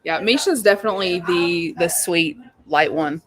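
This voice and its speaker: A woman's voice: on the low side, normal speed, low-quality recording. A woman co-hosting a live readalong show, chatting about books in an informal, slangy, emphatic way. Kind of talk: casual book chat.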